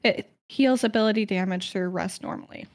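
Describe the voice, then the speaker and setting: A woman co-hosting a casual podcast, chatty and quick to laugh, telling stories with dramatic flair. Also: mid-range voice. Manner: chatty, giggly, dramatic storytelling. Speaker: podcast co-host presenting lore research.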